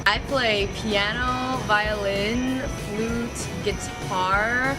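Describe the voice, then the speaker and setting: A woman's voice: fairly high pitch, fairly even intonation, extremely slow, rough recording. A woman whose first language is Cantonese, a student orientation leader, chatting casually in interview answers with many fillers. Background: background music.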